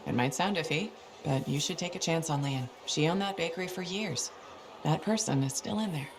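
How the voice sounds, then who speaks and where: An actress playing a composed, wry freelancer pilot, loyal and diplomatic, in a video game cutscene. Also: female voice, low voice, a little fast. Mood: calm, persuasive.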